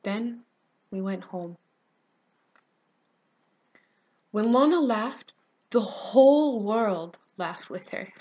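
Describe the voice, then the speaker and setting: Woman acting as haunted teenage girl, dramatic monologue, slow and halting, soft breathy voice, tender, grieving. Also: medium pitch, animated, lo-fi sound, voice pushed up. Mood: fond, wistful. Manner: slow, halting, emotive, swelling from hush to intensity.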